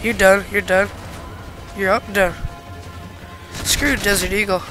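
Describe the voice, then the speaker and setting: A congested-sounding gamer giving casual, sweary gameplay commentary with blurted, excitable reactions. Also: mid-range voice, takes it slowly, over music. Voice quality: congested, stuffed-up nose. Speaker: video game player recording commentary. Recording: gunfire and game noise behind voice.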